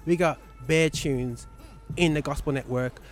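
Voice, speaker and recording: A man's voice: fairly high pitch, a little slow. A man hosting a gospel music show, chatting casually and rambling with lots of restarts. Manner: animated, rambling with many restarts. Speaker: radio show host. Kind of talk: casual studio chat.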